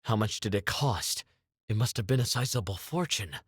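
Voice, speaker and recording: A man voicing a wary, curious adventurer with a husky voice in a visual novel game. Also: fairly low pitch, lively intonation, moderate pace, no echo, clean studio sound. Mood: incredulous, curious.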